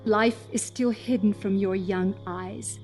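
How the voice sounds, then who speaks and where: A woman playing a vulnerable, emotional aristocrat in her fifties in a theatrical stage monologue, pleading and halting, repeating herself. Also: medium pitch, fairly even intonation, a little mellow, faint music behind, pitched below the performer's own voice, close to an everyday voice. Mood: wounded, pleading. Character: vulnerable, emotional aging aristocratic woman of fifty-six, desperate.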